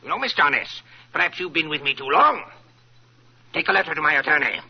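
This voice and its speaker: Controlling rich boss, old radio show, husky creaky voice, kinda high, cold and demanding. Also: male voice, lively intonation, fairly quick. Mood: stern, menacing. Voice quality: gravelly, husky. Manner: curt, imperious, threatening. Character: cantankerous, demanding, controlling rich male employer. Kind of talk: old-time radio drama acting. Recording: dull vintage radio recording.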